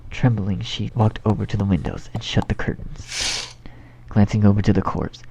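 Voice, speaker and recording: A man's voice: lowish voice, fairly even intonation, normal speed, creaky, warm tone. A man narrating a scripted fan fiction story as its storyteller. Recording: dull home recording with faint noise.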